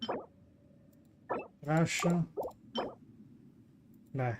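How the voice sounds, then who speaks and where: A game streamer giving casual, halting live commentary with mumbled asides. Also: medium pitch, background noise.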